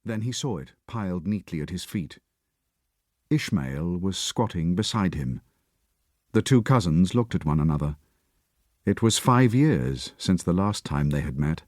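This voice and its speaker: A male audiobook narrator with a lowish voice that moves widely in pitch as he tells the story. The delivery is measured and expressive, recorded close and dry. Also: no echo. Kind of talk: scripted narration.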